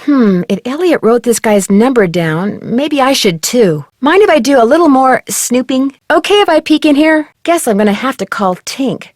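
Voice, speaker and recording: A plucky young lady detective for a video game. Her voice sits fairly high and swings widely in melody as she muses to herself with curiosity. The words are clearly spoken, recorded close and dry. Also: lively intonation, moderate pace, hardly any pauses, no room sound. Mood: curious. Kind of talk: video game voice acting, short reaction lines. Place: dry studio.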